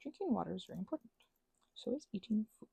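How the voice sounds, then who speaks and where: A woman's voice: medium pitch, big pitch swings, fast, gravelly, dark tone, husky, dry recording. A woman chatting casually while she does crafts, whimsically interrupting herself and talking to herself and to objects. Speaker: craft and ASMR video creator.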